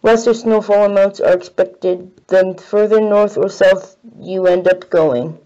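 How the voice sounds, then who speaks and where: A woman's voice: mid-range voice, steady tone, measured pace, mellow, dull audio. A woman delivering a scripted weather forecast as a broadcast forecaster.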